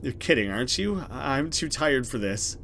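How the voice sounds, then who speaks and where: A man's voice: medium pitch, animated, normal speed, fairly bright tone, a little hiss or hum. A man voicing a sleepy, sceptical young woman in a horror visual novel, sounding tired and doubting. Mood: groggy, doubting. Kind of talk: visual novel read-aloud.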